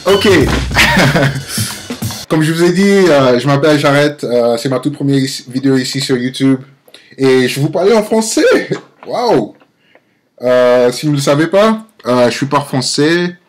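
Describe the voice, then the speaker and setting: A man in his twenties with an American accent, a native English speaker born in Nigeria, talking casually to camera as an amateur vlogger, haltingly searching for words and correcting himself. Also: medium pitch, lively intonation, faint music behind. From Nigeria-born, grew up in the United States. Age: 20s. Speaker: amateur video blogger.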